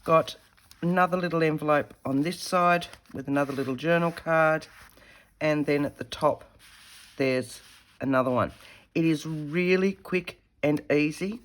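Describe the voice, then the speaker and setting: A woman, slightly croaky from a head cold, chatting casually as she demonstrates a paper craft while working with her hands. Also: sits low, a little slow. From Australia. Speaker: craft tutorial host.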